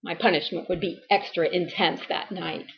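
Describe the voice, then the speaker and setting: A woman acting a distraught, abused wife and mother making a halting, emotionally strained confession in a dramatic monologue. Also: lowish voice, steady tone, creaky, dull audio, a little hiss or hum. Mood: anguished.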